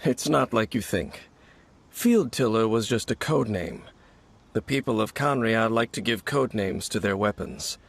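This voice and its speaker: A man voicing a solemn, formal knight in a video game, speaking in a measured, grave way. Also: lowish voice, expressive, vocal fry, husky, full character voice. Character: solemn male knight, grave keeper of ancient secrets.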